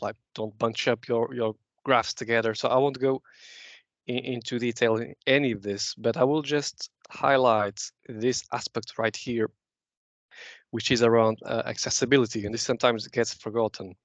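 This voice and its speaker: A man's voice: mid-range voice, dry recording, lo-fi sound. A man from Portugal presenting a data visualisation talk to a user group, explaining with frequent "uh" restarts. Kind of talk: conference talk with slides.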